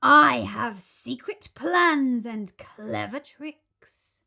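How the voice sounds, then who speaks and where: A huge, greedy crocodile from a children's storybook, acted out by a woman. He is sly and boastful, lingering very slowly on each word with menacing relish. His pitch rises and falls freely as he gloats. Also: animated, gravelly, pushed and bright, full character voice. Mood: gleeful, relishing. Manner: slow, menacing, relishing words, drawn out.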